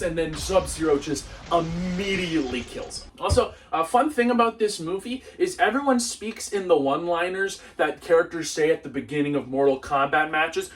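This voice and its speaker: A young man doing comedic movie review commentary in a sarcastic, casual, animated way. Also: a little high, recorded from a distance, a little hiss or hum. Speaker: movie reviewer and video host.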